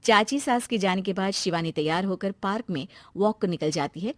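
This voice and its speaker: A woman's voice: mid-range voice, brisk, no room sound. A woman narrating a scripted moral story as the storyteller.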